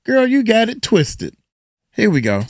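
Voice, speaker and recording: A woman's voice: on the high side, wildly swooping pitch, measured pace, no echo, studio quality. A woman giving playful, sassy, emphatic commentary. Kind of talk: casual commentary.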